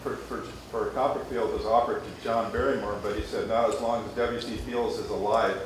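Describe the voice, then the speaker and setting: A man's voice: lowish voice, steady tone, fairly quick. A man in an audience offering a casual comment from away from the microphone. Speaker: audience member. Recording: very echoey room, distant, background noise.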